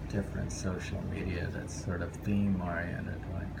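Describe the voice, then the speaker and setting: A man reading short poems aloud and commenting on them casually, in a musing, informal way with reflective pauses, over a noisy recording. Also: low voice, flat delivery, vocal fry. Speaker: poetry reader.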